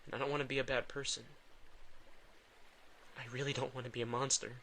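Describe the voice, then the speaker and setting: A man's voice: mid-range voice, level tone, fairly quick, pushed and bright, slight background noise. A man playing a guilt-ridden, protective male vampire in an intimate audio drama, with dry sarcasm and a weary, casual delivery. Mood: guilty, somber.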